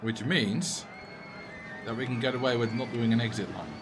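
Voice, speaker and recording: A man's voice: mid-range voice, normal speed, music in the background. A man with a Dutch accent, a streamer chatting casually and answering chat messages while he plays a game. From Netherlands.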